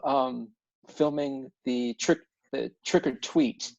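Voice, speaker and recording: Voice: mid-range voice, steady tone, takes it slowly, creaky, darkish tone, dry recording. A person chatting casually as a cast member on a video call. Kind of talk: casual chat. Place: online group video call. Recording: dull lo-fi video call audio.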